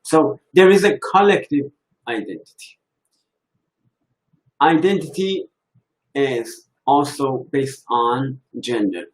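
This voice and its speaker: Man, very slow delivery, halting, reflective talk on faith, medium pitch. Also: poor audio. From Iran. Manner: slow, halting, thinking aloud.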